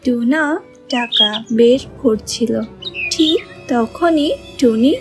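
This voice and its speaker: Slow-paced woman narrating a cartoon story. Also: a little high. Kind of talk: scripted children's story narration. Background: music and birdsong.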